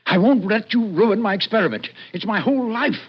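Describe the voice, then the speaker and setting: Agitated old professor, animated, vintage radio. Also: male voice, high voice, breathy edge. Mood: desperate. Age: older. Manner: agitated, defensive, halting, fussy. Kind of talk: 1940s radio drama acting. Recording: muffled old radio broadcast with hiss.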